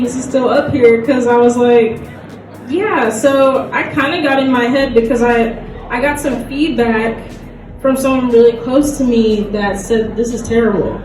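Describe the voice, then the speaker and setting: A woman's voice: sits high, noisy background. A woman panelist chatting casually, candid and slangy. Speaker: panelist, comic producer. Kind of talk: casual chat. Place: convention panel room. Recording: echoey, distant, crowd behind.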